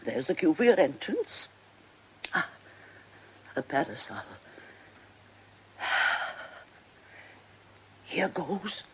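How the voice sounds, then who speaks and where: An actress playing an older, faded stage diva in a radio drama, eccentric and wistful, talking to herself in a halting, theatrical manner. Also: female voice, on the low side, sing-song, a bit bright, slight background noise, heavily acted, poor audio. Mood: brisk, wistful.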